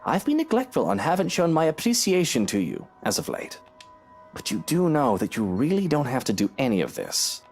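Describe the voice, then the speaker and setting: An actor playing a formal, concerned wealthy man, earnest and measured in his wording, in a visual novel game. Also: medium pitch, huge pitch swings, darkish tone, faint music behind. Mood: earnest, conciliatory.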